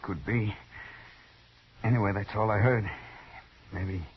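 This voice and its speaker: A man's voice: fairly low pitch, fairly even intonation, unhurried, slight background noise, close to an everyday voice. An actor playing a wounded, weakened Old West townsman in a 1950s radio western, his speech strained and labored. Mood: weary, puzzled. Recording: muffled old radio recording.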